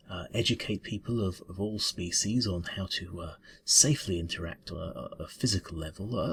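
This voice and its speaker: Man as a mumbling, creaky cat bureaucrat. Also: low-pitched, a bit bright, full character voice. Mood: hesitant, officious. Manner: mumbling, halting, stammering, politely officious. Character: slightly ruffled, hesitant male cat bureaucrat interviewer. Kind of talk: ASMR roleplay interview. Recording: clear background noise.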